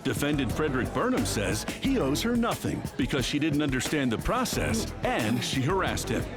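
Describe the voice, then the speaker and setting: A man's voice: medium pitch, big pitch swings, normal speed, music in the background. A man reading dramatic scripted narration as the announcer of a television show.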